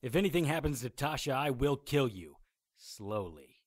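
A man's voice: medium pitch, lively intonation, normal speed, no room sound, studio quality, close to an everyday voice. A man playing a defiant, wry occult hero in a radio drama, plainspoken in both narration and dialogue. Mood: angry resolve.

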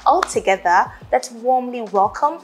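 A woman's voice: fairly high pitch, lively intonation, measured pace. A young woman hosting an interview, an upbeat presenter who greets the audience and encourages her guest, on a lapel mic in an office. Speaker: interview host. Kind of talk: hosted interview. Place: bright office set, seated on sofa.

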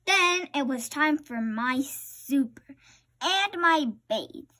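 A young girl reading a picture storybook aloud in an expressive, halting way. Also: sits high, dry recording, studio quality. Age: young child. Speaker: reader.

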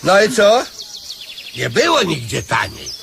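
A self-important but naive male beggar in a comic radio play. His creaky voice jumps about in touchy, folksy bluster, with clear ambient noise around it. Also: high voice, wildly swooping pitch, unhurried, heavily acted. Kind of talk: Polish radio comedy drama acting.

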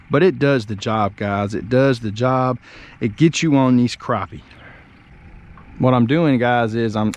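A man, talking casually to viewers while fishing from a small boat outdoors, hollering with excitement when fish bite. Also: mid-range voice. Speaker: fishing vlogger. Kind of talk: casual fishing vlog talk.

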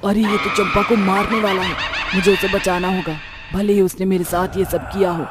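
A woman's voice: medium pitch, level tone, moderate pace, close to an everyday voice. A woman voicing a vengeful but righteous witch ghost in a horror cartoon, with a stern, dramatic delivery. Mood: alarmed. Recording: music behind the voice.

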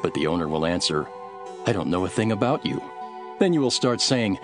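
Solemn male teacher-prophet, low voice, very varied intonation, earnest preaching, scripture drama. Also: very animated. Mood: stern teaching. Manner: measured, emphatic, preaching. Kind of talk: dramatized scripture reading over music. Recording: music behind the voice.

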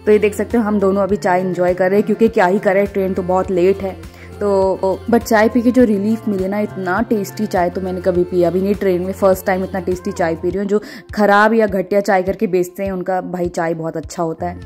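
A young woman narrates her travel vlog in an informal, chatty style. Her voice sits at an ordinary female pitch and speaks straight to the viewers. Music plays behind her. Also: steady tone, fairly quick, a little mellow. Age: 20s. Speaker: travel vlogger. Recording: music under the voice. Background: background music.

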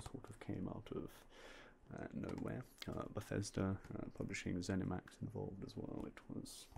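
A young man softly whispering in a polite ASMR roleplay as a game store clerk, close to a binaural mic. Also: on the low side, vocal fry, noisy background. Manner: soft, polite, mostly unvoiced whispering.

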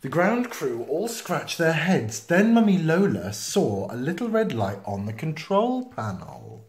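A man reading a children's picture book aloud in an expressive, playful manner. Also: fairly high pitch. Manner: expressive, slow, with playful sound effects and asides. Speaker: storytime reader. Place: small indoor room, seen close to the camera. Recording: roomy, echoey home video sound.

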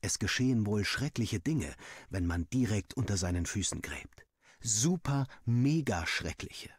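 A man's voice: on the low side, expressive, measured pace, slightly rough, no echo, clean studio sound. A man playing a young student villager who narrates his diary in a wry, dramatic, self-mocking way, as a scripted game story. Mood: joking, embarrassed.

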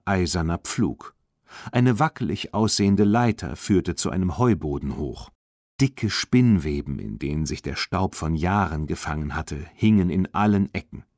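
German guy reading a kids audiobook, lowish voice, really animated intonation, dry sound. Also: no room sound. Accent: standard German. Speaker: audiobook narrator. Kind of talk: scripted narration of a children's story.

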